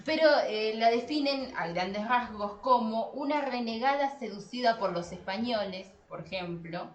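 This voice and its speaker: A woman's voice: a little high, lively intonation, moderate pace. A woman co-hosting a casual discussion podcast, talking playfully with wry asides. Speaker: podcast co-host. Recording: roomy echo, clearly noisy background.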